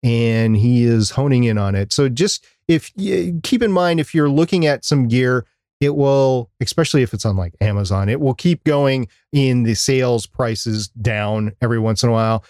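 A man's voice: mid-range voice, expressive, moderate pace, darkish tone, no room sound, clean studio sound. A man co-hosting a podcast, giving practical advice in a casual, conversational way. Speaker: podcast co-host.

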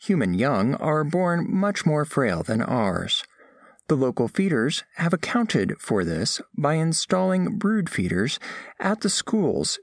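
A man's voice: fairly high pitch, gravelly, no echo, clean studio sound, exaggerated voice. A man playing a formal, curious alien scholar who narrates an audio drama in precise, clinical wording. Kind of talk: scripted first-person story narration.

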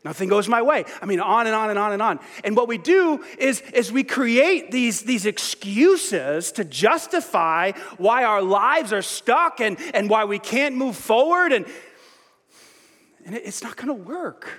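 Man pastor, light high voice, lively sermon, echo of a big church hall. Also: measured pace. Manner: lively, self-mocking, restarts often.

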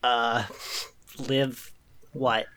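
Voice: medium pitch, little pitch movement, extremely slow, fairly bright tone. A podcast host in casual comedic chat, laughing and reacting playfully while reading listener posts.

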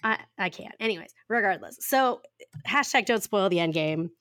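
A woman in her thirties co-hosting a podcast, raspy and a little hoarse from a cough, chatting casually and laughing often as she rambles through stories. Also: medium pitch, expressive, moderate pace, fairly bright tone, no room sound, studio quality. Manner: giggly, rambling storyteller who laughs often. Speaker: podcast co-host. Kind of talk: casual chat.

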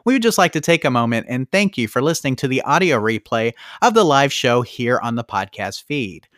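A man's voice: medium pitch, big pitch swings, a little fast, fairly bright tone. A man co-hosting and producing a live podcast, chatting casually and reading out viewer messages in an upbeat, announcer-like way.

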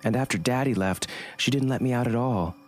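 A man's voice: mid-range voice, a little fast, darkish tone, soft background music, pitched above the performer's own voice, lightly acted. A man voicing a small, frank little girl who speaks in an innocent, matter-of-fact way. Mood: earnest.

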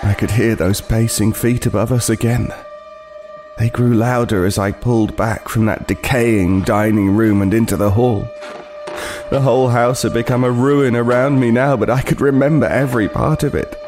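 An actor playing a haunted, remorseful homeless killer, narrating a horror audio drama gravely and with anguish. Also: male voice, mid-range voice, steady tone, normal speed, music in the background, natural voice.

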